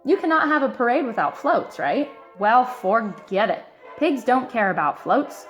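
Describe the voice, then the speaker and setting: Animated, high-voiced woman reading a storybook. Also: faint music behind. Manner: animated, emphatic, drawn-out key words. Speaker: storybook reader. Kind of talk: expressive read-aloud of a children's picture book.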